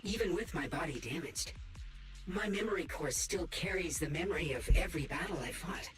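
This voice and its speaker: A man's voice: fairly high pitch, animated, a little fast, a bit bright, echoey room, faint music behind. A man voicing a polite, knowing little robot companion in a video game, courteous and formal in delivery.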